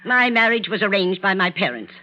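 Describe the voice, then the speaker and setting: An actress playing a cold, imperious, scornful old bedridden matriarch in a 1940s radio drama. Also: female voice, medium pitch, very animated, brisk, pushed and bright. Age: older. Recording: muffled vintage 1940s radio recording.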